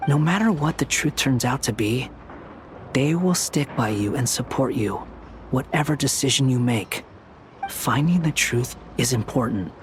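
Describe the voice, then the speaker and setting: Guy voicing aloof young wanderer in a game, mid pitched, dry and blunt, bit of background noise. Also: animated, unhurried, close to an everyday voice. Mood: earnest. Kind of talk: dramatic video game dialogue.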